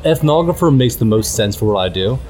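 A middle-aged man from Canada, a guest ethnographer speaking in a measured, reflective way with frequent restarts. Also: mid-range voice, animated, darkish tone. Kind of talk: conversational interview.

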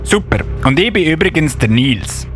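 A man as an excitable high-pitched little elf policeman. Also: faint music behind. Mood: chatty, earnest. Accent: Swiss German. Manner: halting, excitable, often interjecting excuses. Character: eager little male Christmas elf policeman, bumbling but helpful. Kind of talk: children's Christmas radio play acting.

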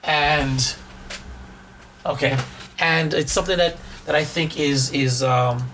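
Hoarse male podcast co-host, slightly high voice, rambling and restart-heavy delivery. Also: steady tone, slow pace, fairly bright tone, slight background noise. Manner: rambling, repeats words and restarts often. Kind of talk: casual chat.

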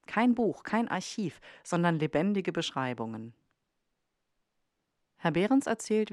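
A woman's voice: deep voice, darkish tone, dry recording, studio quality. A woman narrating a documentary podcast from a script.

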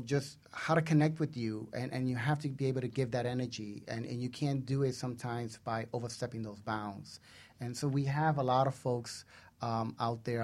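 A man's voice: medium pitch, a little mellow. A middle-aged man co-hosting a casual radio talk show, speaking earnestly and repeating points for emphasis.

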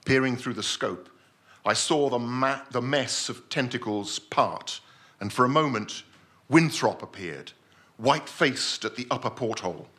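Guy doing a stuffy scientist telling a grave sea story, very animated pitch, crisp edge to the voice, formal and theatrical. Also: measured pace. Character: pompous, composed male scientist narrating a sea tragedy. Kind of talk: dramatic live short-story reading.

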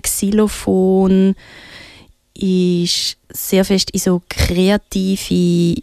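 A woman's voice: mid-range voice, creaky, a little mellow, no echo, clean studio sound. A woman with a Swiss German accent presenting music casually and unscripted on radio, often hesitating as she searches for words.

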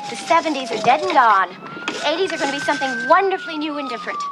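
A woman's voice: very high voice, huge pitch swings, rapid-fire, noisy background, heavily acted. An actress playing a glamorous, flamboyant woman with a breezy, theatrical manner, heard in a film clip.

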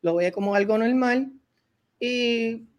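A podcast host with a Puerto Rican accent, talking loosely and often circling back with repeated words. The voice sits at a middling pitch, neither high nor low, in an easy conversational flow. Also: slow. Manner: rambling, repeats words while gathering thoughts. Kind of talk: casual discussion.

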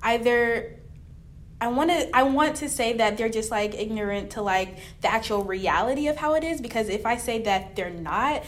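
Young woman with a higher voice, rambling. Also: moderate pace. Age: young adult. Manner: rambling, searches for words. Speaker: staff writer and podcast co-host. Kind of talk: casual roundtable discussion.

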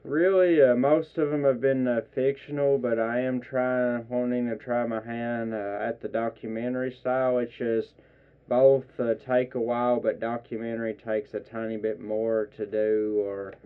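A man in his twenties from eastern Kentucky, a student filmmaker, answering earnestly with many pauses and fillers. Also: medium pitch, flat delivery, clear, resonant tone. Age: 20s. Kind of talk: radio interview. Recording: narrow phone-like sound.

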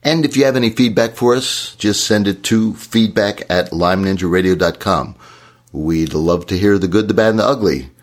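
A man, hoarse from shouting, co-hosting a podcast in a casual, encouraging way. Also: fairly low pitch, normal speed. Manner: earnest, encouraging advice. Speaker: podcast co-host.

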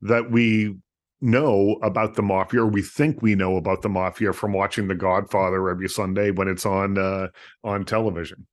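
A man's voice: deep voice, flat delivery, normal speed. Male radio host asking questions. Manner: conversational, asking questions with small fillers. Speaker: radio talk show host. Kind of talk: radio interview.

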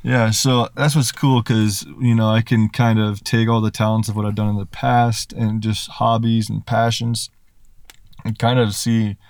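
A man who is a rapper and YouTuber, telling stories in a relaxed way with casual swearing on a podcast. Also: fairly low pitch, unhurried, no echo.

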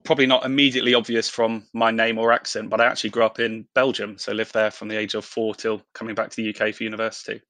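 Bright, even-toned man. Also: fairly low pitch, fast talker, few pauses, no room sound. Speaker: investment professional, interview guest. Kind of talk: explanatory interview talk.

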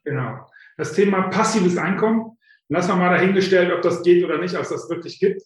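Fifties man, high voice, chatty and self-mocking storyteller with lots of filler sounds, recorded in a very reverberant room. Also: a little fast. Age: 50s. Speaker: guest, author coach. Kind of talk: casual interview storytelling. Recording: very echoey room.

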